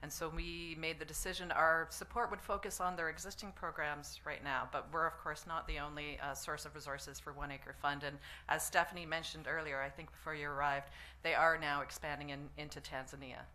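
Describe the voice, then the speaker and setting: A low-voiced woman with a bright, firm edge answers as a foundation representative on a press panel. Her explanation is unscripted, with halts and self-corrections. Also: fairly even intonation, brisk, few pauses. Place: press conference room.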